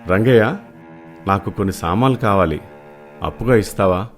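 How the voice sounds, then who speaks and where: An actor playing a poor, humble, weary village cobbler in an animated moral story, earnest and pleading. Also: male voice, on the low side, moderate pace, soft background music, natural voice. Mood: downcast, humble.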